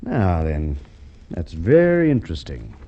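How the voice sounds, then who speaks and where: Old radio police inspector, aussie, lowish voice, very up and down, measured and clever, muffled old recording. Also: male voice, wildly swooping pitch, background noise, full character voice. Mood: musing, assured. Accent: Australian. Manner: deliberate, musing, courteous. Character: shrewd, measured male police inspector in a crime drama. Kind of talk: golden age radio drama acting. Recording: dull vintage radio drama recording.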